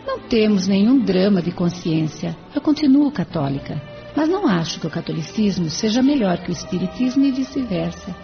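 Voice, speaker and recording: Creaky-voiced Brazilian spirit woman played by an actress. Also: medium pitch, sing-song, brisk, faint music behind. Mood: serene, instructive. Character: serene devout female spirit helper, explaining patiently. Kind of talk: audio drama acting in Portuguese. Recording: dull lo-fi audio.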